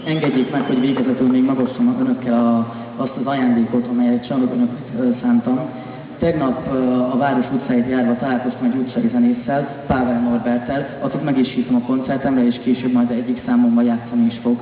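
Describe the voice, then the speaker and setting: A man's voice: medium pitch, little pitch movement, fast talker. A Hungarian man works as a live interpreter, relaying a stage speech to a concert audience. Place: on stage in a large concert hall. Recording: echoey concert hall, muffled sound, audience noise.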